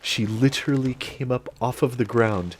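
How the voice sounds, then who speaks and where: A man in his late thirties, an animated storyteller who swears freely, telling a personal story straight to the mic. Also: medium pitch, moderate pace, slight background noise. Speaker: channel host. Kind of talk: storytime monologue.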